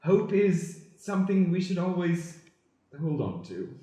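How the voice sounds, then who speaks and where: A man playing a polite, mild-mannered boyfriend with a gently dry delivery in an improvised comedic radio play. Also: high voice, very animated, measured pace. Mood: consoling. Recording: very echoey room, faint noise.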